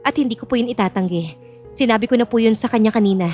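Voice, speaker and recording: A woman's voice: fairly high pitch, fast, a little mellow, faint music behind, close to an everyday voice. A woman playing a frank, determined twenty-two-year-old in an audio drama, speaking bluntly with a Filipino accent. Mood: frank, defiant. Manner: blunt, unapologetic.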